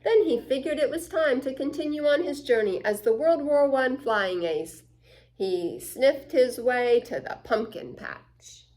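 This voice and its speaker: A woman reads a children's story at a very unhurried pace. Her voice sits fairly high, and her delivery is expressive, moving between hushed and excited as a story time reader. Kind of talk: read-aloud picture book narration with asides to listeners.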